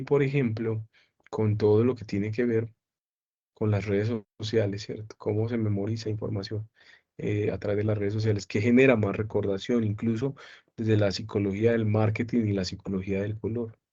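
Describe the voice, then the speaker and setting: A male psychology teacher giving an online class lecture, explaining slowly and often checking in with students. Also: lowish voice, steady tone, mellow, muffled recording.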